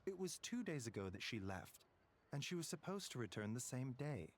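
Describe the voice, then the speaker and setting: Courteous doctor with expressive intonation. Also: male voice, medium pitch. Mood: grave, concerned. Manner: formal, measured, polite. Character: composed, courteous doctor, concerned and measured. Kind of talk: video game voice acting.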